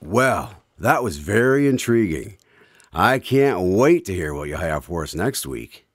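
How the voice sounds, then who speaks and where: A man's voice: low voice, sing-song, unhurried, no echo, studio quality. A man hosting a radio drama, delivering scripted questions and announcements. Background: guitar music.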